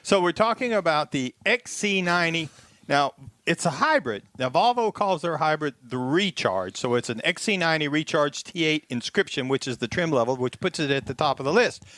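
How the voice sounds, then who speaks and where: A man's voice: fairly high pitch, expressive, moderate pace, fairly bright tone, no room sound. A man casually reviewing a car on a radio show, listing features with fillers.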